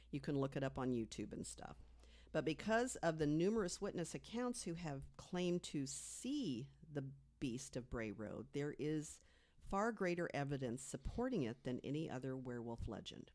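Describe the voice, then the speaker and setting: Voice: medium pitch, darkish tone. An American podcast co-host telling a researched story in a conversational way, partly from prepared notes.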